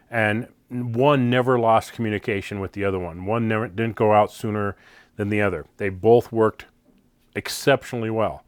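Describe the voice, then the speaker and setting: An American man reviews gear in a casual, off-the-cuff style. His voice sits at a middle pitch, with very little room sound around it. He is plain-spoken and conversational, leaning on key words for emphasis. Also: smooth, no echo, studio quality. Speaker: product reviewer, channel host.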